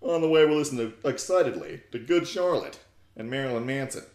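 A man's voice: medium pitch, lively intonation, fairly quick, darkish tone. Young man narrating in a roomy space. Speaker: narrator, YouTube host. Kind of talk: theatrical comic fan fiction reading. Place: small living room, indoors. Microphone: desktop USB microphone close by.

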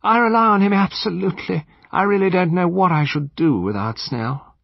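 A man's voice: high-pitched, normal speed, vocal fry, dry recording, lo-fi sound, pitched above the performer's own voice. A man voicing a vague, flustered older upper-class English lady in an audiobook, with a hesitant, wavering delivery that trails off. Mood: relieved.

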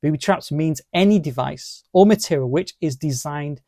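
A middle-aged man presenting a scripted legal explainer in a formal, deliberate manner. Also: on the high side, dark tone, dry recording, clean studio sound. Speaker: presenter of legal education video.

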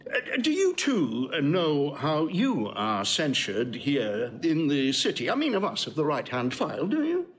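An actor playing a witty, sardonic Roman patrician, teasing with hesitant mock-politeness in Shakespearean comic style. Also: male voice, a little high, huge pitch swings, measured pace, few pauses, slight background noise. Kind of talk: classical Shakespearean comic acting.

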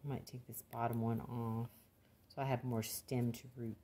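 Older woman, low voice, folksy and plain-spoken, for casual home gardening how-to narration. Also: flat delivery, normal speed, mellow, soft background music. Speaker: gardening demonstrator.

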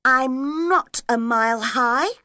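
A woman's voice: high-pitched, slow pace, bright, forceful tone, no room sound, clean studio sound, voice pushed up, full character voice. A woman playing a polite but plucky young girl in a children's storybook, speaking firmly. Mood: defiant.

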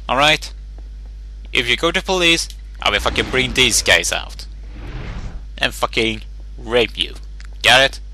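A man's voice: lowish voice, creaky, bright, forceful tone. A young man with a Swedish accent, commentating his gameplay in a crude, swearing rant. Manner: crude, angry ranting with swearing. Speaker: let's play gamer.

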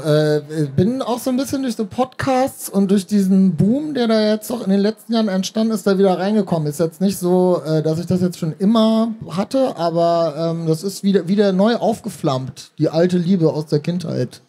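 A man's voice: high voice, moderate pace, hardly any pauses, mellow. A man speaking casually as a guest in an on-stage interview, hesitant and searching for words. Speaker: podcast author, interview guest. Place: stage at a book fair. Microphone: handheld microphone.

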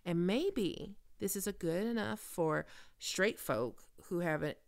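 A woman's voice: lowish voice, lively intonation, slow, creaky, full character voice. A woman playing a famous, cocky and flirty actress in an intimate, teasing, confiding ASMR roleplay. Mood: earnest, scheming. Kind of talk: intimate ASMR audio roleplay, one-sided conversation.

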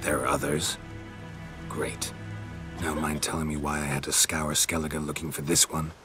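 An actor playing a gruff, stoic, sardonic monster hunter in a video game, with a low gravelly voice and clipped deadpan delivery. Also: male voice, moderate pace, over music. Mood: dry, probing.

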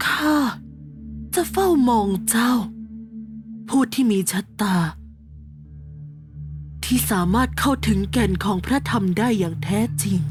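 Slow, solemn guardian spirit played by a woman. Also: on the high side, animated. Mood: solemn blessing. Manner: solemn, stately, unhurried. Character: ancient female guardian spirit, solemn, benevolent, watchful. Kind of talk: Thai animated horror story acting. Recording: clear music under the voice.

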